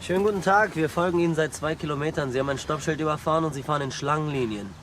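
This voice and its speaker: A man playing a police officer making a brisk, official traffic stop. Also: a little high.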